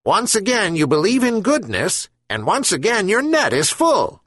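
A man voicing a wise talking herring gull in a storybook, speaking slowly and solemnly. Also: sits high, wildly swooping pitch, fairly bright tone, breathy edge, no echo, clean studio sound, voice pushed up, exaggerated voice. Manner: slow, solemn counsel.

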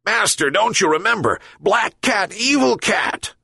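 A man's voice: high voice, expressive, unhurried, gravelly, pushed and bright, slightly rough, no echo, clean studio sound, pitched above the performer's own voice, exaggerated voice. A man voicing a loyal, suspicious dog in a storybook, yelping indignantly.

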